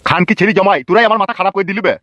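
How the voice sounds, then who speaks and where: Man doing a whiny old cartoon villager whose wife left him, high strained pitch, muffled sound. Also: very fast, pushed and bright, no echo. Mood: angry, aggrieved. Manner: wailing, plaintive. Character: whining, self-pitying abandoned old village husband. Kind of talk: comic village cartoon dubbing. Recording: muffled, music under the voice.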